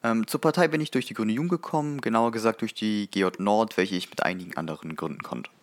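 A man in his twenties introducing himself in a quick, matter-of-fact way. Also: on the low side. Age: 20s. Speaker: party youth representative.

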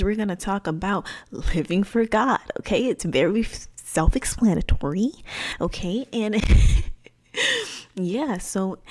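A woman in her twenties hosting her own faith podcast, talking in a chatty, animated way full of slang. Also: mid-range voice. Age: 20s. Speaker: podcast host. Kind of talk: casual faith podcast talk.

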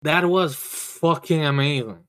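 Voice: medium pitch, unhurried, fairly bright tone. A podcast host chatting casually and enthusiastically, stammering and repeating words, with emphatic swearing. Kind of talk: casual chat, enthusiastic review.

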